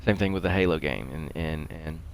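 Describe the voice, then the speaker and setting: A man's voice: a bass voice, brisk, vocal fry. A middle-aged man giving casual, rambling commentary while he plays a video game. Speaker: gaming show host. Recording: faint background noise.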